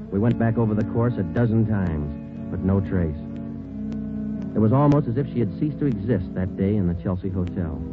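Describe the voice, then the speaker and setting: A stoic American police detective played by a male actor in a 1940s radio drama. His voice is very low and brisk, clipped and matter-of-fact as he tells his case. The old recording is muffled. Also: close to an everyday voice.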